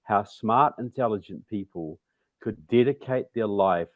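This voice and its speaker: Phone-like, low-voiced New Zealand man. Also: measured pace, no room sound. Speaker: interview guest, author and political activist. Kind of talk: conversational interview commentary. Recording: tinny, narrow phone-like call audio.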